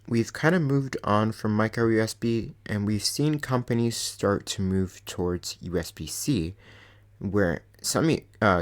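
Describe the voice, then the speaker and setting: A young man with a deep baritone voice, casually reviewing a microphone with plenty of ums and likes. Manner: rambling, lots of ums and likes. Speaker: channel host reviewing a microphone. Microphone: USB condenser desk mic.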